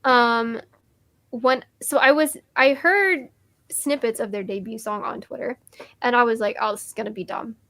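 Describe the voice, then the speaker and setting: A young woman chatting eagerly as co-host of a music review, her voice sitting a little high. She gushes and stumbles through restarts and fillers, and her voice is fraying from overuse. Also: moderate pace, a bit bright, dry recording. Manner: enthusiastic, gushing, many likes and restarts. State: losing her voice. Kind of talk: casual chat.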